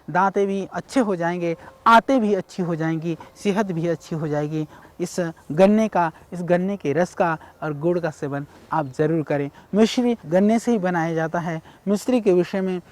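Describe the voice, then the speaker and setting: A man from India giving a measured, explanatory health talk on ayurveda, speaking directly to viewers. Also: high-pitched, darkish tone. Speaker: ayurveda teacher.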